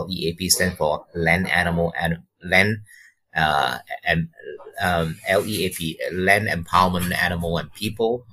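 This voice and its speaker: Very low-voiced Malaysian man, halting. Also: fairly bright tone, husky. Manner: halting, repeats words while searching. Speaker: guest, wildlife biologist. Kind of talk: podcast interview, personal story.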